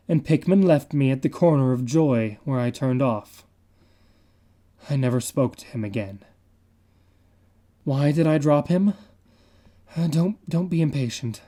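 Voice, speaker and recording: A man reads a horror story aloud in a measured, confiding and dramatic manner. His delivery is slow, and his voice is pitched a little high but has a dark, mellow tone. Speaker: reader.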